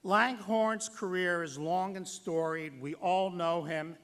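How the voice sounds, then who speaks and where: A man giving a formal tribute speech as master of ceremonies at a banquet, speaking in a deliberate, emphatic way with dramatic pauses. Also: sits high, fairly bright tone.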